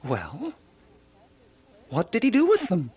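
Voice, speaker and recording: A woman's voice: medium pitch, wildly swooping pitch, slow pace, dark tone, husky, full character voice. An actress playing a fussy, self-important, eccentric woman sleuth in a radio drama, theatrical and given to pondering aloud. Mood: indignant, fussy.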